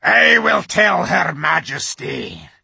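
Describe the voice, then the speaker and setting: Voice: medium pitch, very animated, gravelly, pushed and bright, breathy edge, dry recording, voice pushed up, full character voice. Fields: Figure: menacing wolf. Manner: slow, threatening. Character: menacing grey wolf, chief of secret police. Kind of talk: audiobook character voice.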